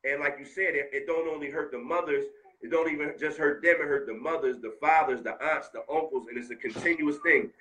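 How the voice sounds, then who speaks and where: American man, heartfelt, slangy, bright tone. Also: on the high side, a little fast, slight background noise, low-quality recording. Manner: heartfelt, street slang, animated. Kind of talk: casual conversation.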